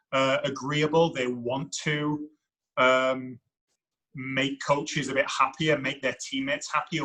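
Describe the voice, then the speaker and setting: A man in his thirties, a soccer coach, with a deep voice and a non-American accent, answering interview questions in a reflective, thinking-aloud way. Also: bright, forceful tone, poor audio. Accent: foreign to the United States. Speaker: women's soccer head coach.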